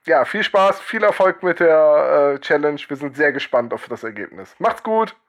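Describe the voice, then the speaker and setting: A man's voice: on the high side, expressive, normal speed. A man guest podcasting, chatting in a jokey, hesitant way. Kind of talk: casual podcast chat.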